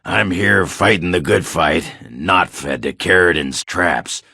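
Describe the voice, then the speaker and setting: Gruff, crude dwarf berserker for a fantasy game: extremely low, raspy, blunt and hard-drinking. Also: male voice, unhurried, sharp tone, no room sound, clean studio sound, full character voice. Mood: rueful, brooding. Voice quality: gravelly, raspy. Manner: crude, blunt, swearing, grunting. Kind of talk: video game character acting.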